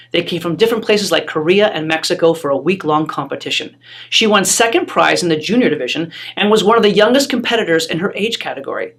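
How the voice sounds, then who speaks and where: School newsletter narrator, formal, measured read. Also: medium pitch, fairly bright tone. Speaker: newsletter presenter. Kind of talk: scripted announcement narration.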